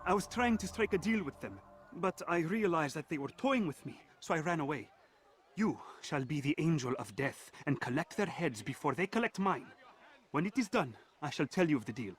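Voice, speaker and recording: Jumpy, grovelling informant with swooping pitch played by a man. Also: expressive, moderate pace, natural voice. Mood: anxious, urgent. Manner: nervous, pleading. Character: frightened, grovelling male informant, anxious and servile. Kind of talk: video game voice acting.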